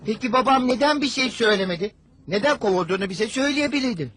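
A man voicing a sarcastic young boy in a Turkish cartoon dub, with a wry, deadpan manner. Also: very high-pitched, quick pace, noisy background. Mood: questioning.

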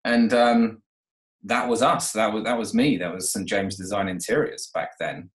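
A man in his thirties with a lowish voice chats over a video call from an echoey room at home. He rambles easily, full of fillers and restarts, as he tells his own story. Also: steady tone, moderate pace. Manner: chatty, with many fillers and restarts. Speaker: renovation company owner, guest. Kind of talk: casual interview.

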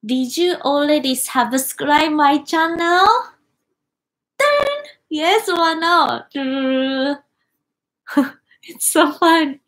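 High, slow-talking Japanese woman streaming. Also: fairly bright tone. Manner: cheerful, reads viewer comments aloud and reacts with exclamations. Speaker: YouTuber. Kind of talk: live stream chat reading comments. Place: small indoor room with wooden cabinets.

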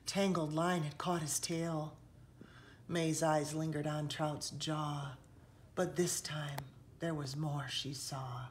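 A woman reading a picture book aloud expressively, with dramatic hushed passages and emphatic rises, recorded indoors by a camera. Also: low voice, fairly even intonation, takes it slowly, slight background noise. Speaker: children's author reading aloud. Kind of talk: expressive storybook read-aloud. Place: indoors, seated on a sofa in a room.

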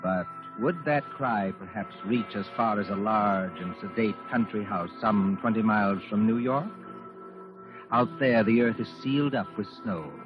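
A man narrating a 1940s radio suspense show in a solemn, measured, theatrical way. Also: on the low side, lightly acted. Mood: ominous, measured. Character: ominous male narrator of a suspense tale. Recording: dull old 1940s radio broadcast. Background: loud music.

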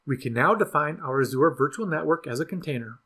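A man narrating a scripted software tutorial in an even, instructional way. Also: on the high side, brisk, slightly rough. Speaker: product demo narrator.

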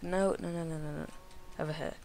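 A man narrating his own gameplay in a casual, mumbling way, sounding croaky from a cold and talking to the animals on screen. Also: on the high side, fairly even intonation, darkish tone, a little hiss or hum. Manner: slow, mumbling, talks to the animals. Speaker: gaming video creator.

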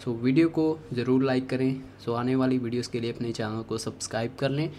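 A man's voice: mid-range voice, fairly even intonation, a little fast, mellow, slight background noise. A man with an Indian accent hosting a tech tutorial, giving step-by-step instructions to viewers.

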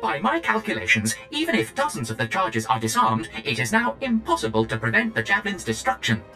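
An actor playing a polite, smug demolition droid in a video game, prim and pompous with precise diction. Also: male voice, fairly low pitch, sing-song, fairly quick, bright, forceful tone, faint music behind, heavily acted. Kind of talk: video game cutscene acting.

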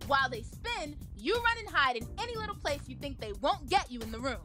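A woman's voice: sits high, big pitch swings, fairly quick, pushed and bright, soft background music. A woman presenting a scripted comic radio segment in a perky, theatrical way.

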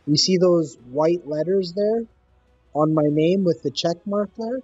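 Husky upbeat Canadian gaming YouTuber. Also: male voice, a little high, darkish tone, dull audio, soft background music. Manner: upbeat, slangy, repeats himself slowly to a non-English speaker. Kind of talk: casual chat with an online gaming opponent.

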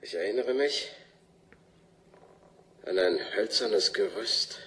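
A bitter, sinister man in a radio drama speaks slowly out of a trance. His pitch swings widely, and he is dramatic and full of contempt. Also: expressive, a bit bright, poor audio. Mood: taunting. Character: bitter, mocking, sinister man under hypnosis recalling a past life. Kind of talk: German radio drama acting.

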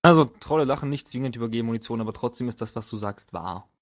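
A man's voice: mid-range voice, fast talker. A man with a mid-range voice runs a tabletop role-playing game. He explains rules and details casually, with a wry, joking manner. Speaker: game master.

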